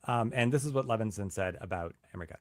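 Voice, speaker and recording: A man's voice: fairly low pitch, darkish tone, no room sound. Reporter man, dry close sound. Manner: halting, repeats words at starts. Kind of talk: news interview, reporter explaining.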